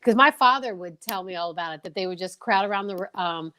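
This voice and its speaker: A woman hosts a casual chat show. She speaks at a middle pitch in an effusive, encouraging way. Her talk is informal and conversational. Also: fast, bright, forceful tone, slight background noise. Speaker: show host.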